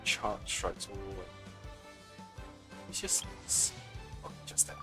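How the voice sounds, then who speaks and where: A man's voice: fairly low pitch, huge pitch swings, slow pace. A man giving casual let's play commentary as a game streamer, blunt and sardonic, sometimes reading game text aloud. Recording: game music behind voice.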